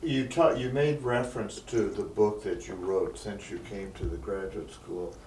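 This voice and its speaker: A man interviewing, asking long, careful questions in a measured way. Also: on the low side, steady tone, a little mellow. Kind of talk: oral history interview. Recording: distant, roomy mic with faint background noise.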